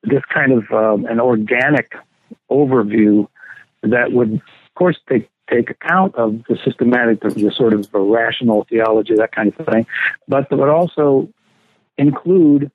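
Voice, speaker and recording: A man's voice: medium pitch, no echo. Scholarly man on a tinny phone line. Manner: thoughtful, reminiscing, unhurried storyteller. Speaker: scholar interviewee. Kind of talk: reflective interview answers.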